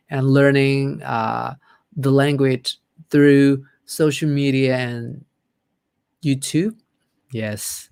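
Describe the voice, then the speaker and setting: A man's voice: medium pitch, darkish tone, no room sound, studio quality. A man with a Thai accent, whose first language is Thai, hosting a casual livestream and chatting upbeat with viewers as he reads their comments aloud. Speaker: livestream host.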